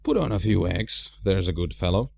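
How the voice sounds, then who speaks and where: A man's voice: sits low, big pitch swings, normal speed, vocal fry, a little mellow, slight background noise, full character voice. A man voicing a genial old wizard in a storybook, courteous but commanding in manner. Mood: bossy.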